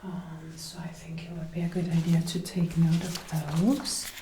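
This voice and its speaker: A woman doing soft-spoken ASMR roleplay, murmuring and whispering up close into a binaural microphone. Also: low voice, flat delivery, measured pace, slight background noise. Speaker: ASMR creator acting out a personal attention measuring roleplay. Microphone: close binaural microphone, ear to ear.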